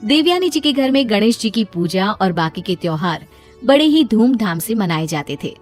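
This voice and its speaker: A woman's voice: mid-range voice, sing-song, moderate pace. A woman reading a scripted story as its narrator. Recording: music behind the voice. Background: background music.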